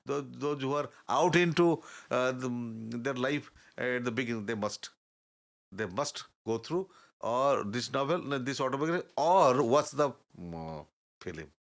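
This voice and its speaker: An older man, a guest telling stories in a halting, earnest way, often pausing to search for words. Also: medium pitch, expressive. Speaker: interview guest. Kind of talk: reflective storytelling in conversation.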